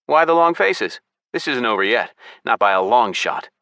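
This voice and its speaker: A man's voice: mid-range voice, animated, moderate pace, pushed and bright, no echo, pitched above the performer's own voice. A man voicing a serious, decisive young gamer hero in an earnest, firm manner. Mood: reassuring.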